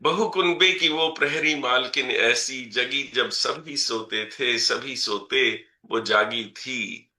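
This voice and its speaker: A man's voice: medium pitch, takes it slowly, bright, forceful tone, roomy sound. A man reciting his own poem at an online poetry gathering, saying each couplet twice and adding short spoken explanations between verses. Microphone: video call.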